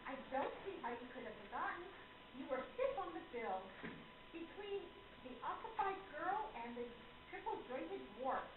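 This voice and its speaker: An imperious, regal medium played by a woman in a radio drama. She speaks very high, with haughty formality and a sly edge. The sound is thin, roomy and noisy. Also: lively intonation, normal speed. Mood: sly, needling. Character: regal, imperious female spirit medium, cleverly needling. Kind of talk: radio play acting. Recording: phone-like lo-fi recording with clear noise.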